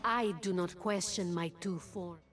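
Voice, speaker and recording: A woman's voice: on the low side, steady tone, measured pace, natural voice. A woman playing a fierce, protective jungle huntress in a video game, speaking tersely and solemnly. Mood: solemn, defiant. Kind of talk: video game character voice lines. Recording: game clip under music. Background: game music.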